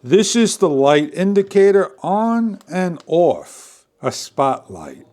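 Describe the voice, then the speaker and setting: A man narrating a step-by-step product demonstration in a deliberate, phrase-by-phrase instructional way. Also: sits high, big pitch swings, a little mellow. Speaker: product reviewer.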